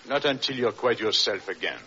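A low, bright-edged male voice plays a controlling husband in a vintage radio drama. He is patronizing and quietly menacing, with sweeping intonation. The recording is dull and noisy. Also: lively intonation, a little fast. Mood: smoothly patronizing. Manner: smooth, condescending, insinuating. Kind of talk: old-time radio drama acting.

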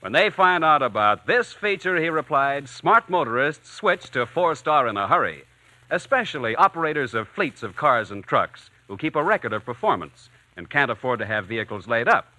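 Old time radio announcer guy, 1940s ad pitch, super forceful bright voice, lots of swoop. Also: big pitch swings, normal speed, no echo. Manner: polished, emphatic delivery.

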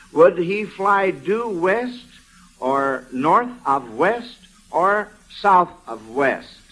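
High-voiced American man hosting old radio quiz. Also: fairly bright tone, low-quality recording. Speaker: quiz show host. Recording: vintage 1940s radio broadcast.

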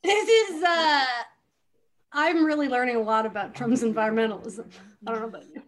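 A woman's voice: a little high, brisk. A woman host giving a comedic dramatic reading with giggly, sarcastic asides. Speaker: podcast host reading a book aloud.

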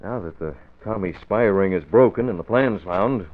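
A man playing a calm, confident crime-fighting hero, explaining in measured tones in an old radio drama. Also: on the low side, close to an everyday voice. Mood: calm, assured. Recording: thin, phone-like vintage radio.

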